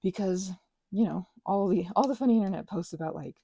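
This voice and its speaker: Soft rambly asmr lady, kinda low and gravelly, dark mellow tone, very dry close mic. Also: a little fast, no room sound. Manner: rambling, meandering, many fillers and restarts; gentle, affectionate toward listeners. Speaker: ASMR creator. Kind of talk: soft-spoken ASMR ramble while crafting.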